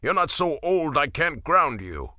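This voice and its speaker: A man voicing a boastful, stubborn older father in an audiobook, loud and proud. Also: on the high side, big pitch swings, a little slow, no room sound, clean studio sound, voice pushed up, full character voice.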